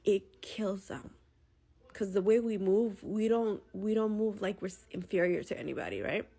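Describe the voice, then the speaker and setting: A woman delivers a casual, opinionated monologue in the style of a social media video. Her voice is of average pitch. She is conversational and emphatic, often repeating a point. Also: lively intonation, moderate pace, gravelly, warm tone.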